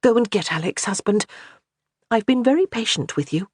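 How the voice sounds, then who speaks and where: A woman voicing a flustered young noble wife, rattled and bossy, in a husky voice. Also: expressive, moderate pace, darkish tone. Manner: rattled, bossy, high-strung.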